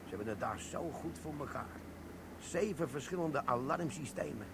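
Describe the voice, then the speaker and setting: A man's voice: mid-range voice, expressive, moderate pace, creaky, roomy sound, heavy background noise. A man playing a sly old army sergeant. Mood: scheming. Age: 60s. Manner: sly, stammering, chuckling. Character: older army sergeant, sly, boastful schemer. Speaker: actor in radio play. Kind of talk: radio play acting.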